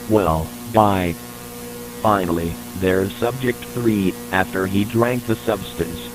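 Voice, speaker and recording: A man reading a scripted, formal, matter-of-fact report as the narrator of an analog horror tape. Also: fairly low pitch. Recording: noisy recording with electrical hum.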